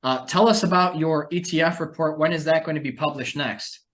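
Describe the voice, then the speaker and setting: A man's voice: a little high, a little fast, a bit bright. A man hosting a conversational discussion about financial markets, speaking as an analyst. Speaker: podcast host and market analyst.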